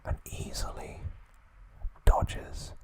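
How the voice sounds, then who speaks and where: A man narrating trivia as an ASMR host in a breathy, hushed whisper, in short measured phrases.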